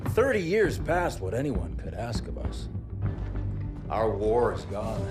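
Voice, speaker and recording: An actor playing a resolute veteran warship captain giving a solemn, measured, rousing speech in a video game. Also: male voice, mid-range voice. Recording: loud music behind the voice.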